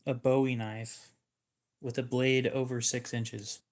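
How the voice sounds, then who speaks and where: A man in the middle of his range hosts a relaxed livestream, talking off the cuff to his viewers. His humor is dry and sarcastic, and he drops the occasional curse word without a second thought. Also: medium pitch, slow pace. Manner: joking, sarcastic, swears casually. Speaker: livestream host. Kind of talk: casual livestream chat.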